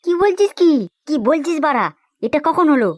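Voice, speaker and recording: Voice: high-pitched, expressive, dry recording. A performer voicing a brash, foul-mouthed young male village gangster in a comic cartoon, swaggering and coarse. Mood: angry, threatening. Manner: swaggering, coarse, quick to threaten. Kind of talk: comic cartoon dubbing in colloquial Bengali.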